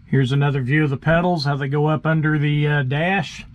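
A man's voice: a little high, fairly even intonation, brisk. A man hosting a farm video, casually explaining tractor features in a plainspoken, folksy way and thinking aloud as he goes.

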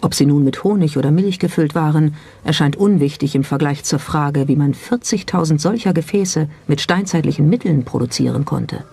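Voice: mid-range voice, normal speed, vocal fry, hardly any pauses, mellow, slightly rough. A narrator reading a scripted documentary in a pointed, skeptical, rhetorical manner. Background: background music.

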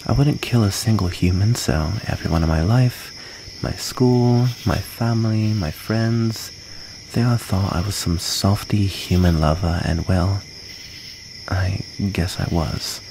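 Low, gravelly, hesitant young vampire played by a man. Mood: hesitant, confiding. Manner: hesitant, halting, soft-hearted delivery. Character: shy, wounded, gentle outcast young male vampire. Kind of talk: ASMR comfort roleplay. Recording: noisy, cricket ambience behind.

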